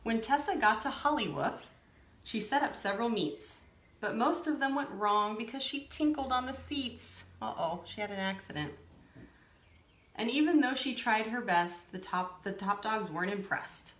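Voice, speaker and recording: Animated woman reading a children's story, roomy sound. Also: fairly high pitch, moderate pace. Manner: expressive, explaining to young children. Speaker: storytime reader. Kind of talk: animated children's picture book read-aloud with asides.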